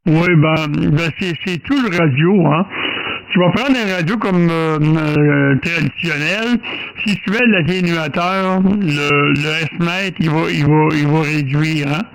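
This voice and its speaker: A man with a Quebec French accent explaining things casually on an amateur radio contact, halting and repeating himself as he searches for words. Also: on the high side, creaky, poor audio. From French-speaking Canada.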